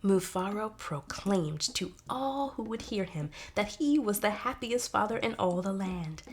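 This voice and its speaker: A woman's voice: mid-range voice, expressive, measured pace, a little hiss or hum. A woman narrating a folktale expressively, as in a storybook read-aloud. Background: soft music.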